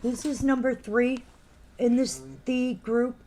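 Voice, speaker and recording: A woman's voice: fairly high pitch, fairly even intonation, slow pace, background noise. A woman co-hosting a live collectibles stream while losing her voice, reading out item numbers and trading dry, teasing banter. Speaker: co-host of a live collectibles show.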